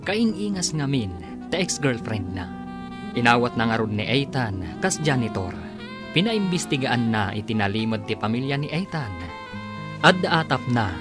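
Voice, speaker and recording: A radio drama announcer with an Ilocano accent, giving dramatic scripted narration. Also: medium pitch. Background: music.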